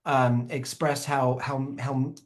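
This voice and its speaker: Earnest, halting man hosting a panel. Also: mid-range voice, level tone. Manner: earnest and effusive, halting restarts. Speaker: host. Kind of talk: online panel conversation.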